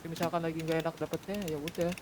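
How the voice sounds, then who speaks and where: Casting: male gamer, slightly high voice, casual chat, clear noise and key clicks. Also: completely flat delivery, fast talker. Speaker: gamer in a roleplay crew. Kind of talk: casual gaming group chat. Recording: noisy voice chat with keyboard clicks.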